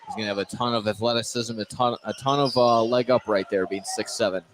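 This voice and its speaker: A man's voice: fairly low pitch, steady tone, a little fast. A man calling live basketball play-by-play for radio, often repeating his phrases as he goes. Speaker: play-by-play sports announcer. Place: indoor high school gym. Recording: noisy gym, ball bounces behind. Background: gym noise and bouncing basketballs.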